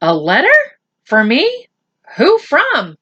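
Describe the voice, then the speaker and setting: Woman playing a young schoolboy, high voice, lively pitch, earnest, astonished, questioning, storybook reading. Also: slow, husky, muffled recording, heavily acted. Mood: surprised, curious.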